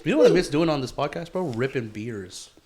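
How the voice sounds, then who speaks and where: A male podcast co-host with a very lively, swinging delivery and a mid-range pitch. He chats like he is among close friends, full of slang and casual swearing. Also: a little fast. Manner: slangy, swears freely, calls people bro and dude. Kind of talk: casual banter between friends.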